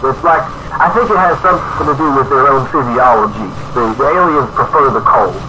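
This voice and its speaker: Male actor as folksy UFO conspiracy author, mid-pitched, rambling and insistent, on a hissy vintage radio tape. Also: fairly quick. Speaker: interview guest, author. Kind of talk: improvised-sounding radio interview. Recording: noisy old cassette radio broadcast.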